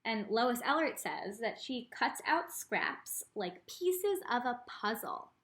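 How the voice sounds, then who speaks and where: Female art teacher for a children's read-aloud: fairly high voice, expressive storytelling, speaks straight to the kids. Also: slow pace. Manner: expressive reading, addresses young listeners directly. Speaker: art teacher reading a picture book aloud.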